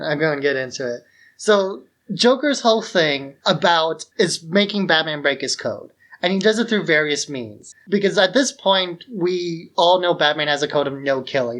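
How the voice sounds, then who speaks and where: A man's voice: fairly high pitch, a bit bright. A man co-hosting a podcast in a casual film discussion, informal and given to acting out paraphrased dialogue. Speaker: podcast co-host.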